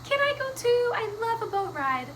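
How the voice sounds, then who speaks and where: Woman doing a high squeaky pleading little cat, noisy background. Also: sing-song, normal speed, fairly bright tone, exaggerated voice. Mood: eager pleading. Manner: squeaky, wheedling, childlike pleading. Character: small eager pleading female cat.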